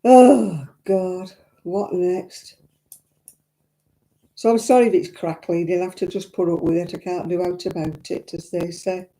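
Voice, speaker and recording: Croaky older woman chatting. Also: fairly low pitch, fairly even intonation, a little slow, dark tone. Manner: chatty, rambling, greets viewers. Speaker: crafting livestream host. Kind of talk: casual chat to live viewers. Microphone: own simple mic, live stream. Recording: crackly audio.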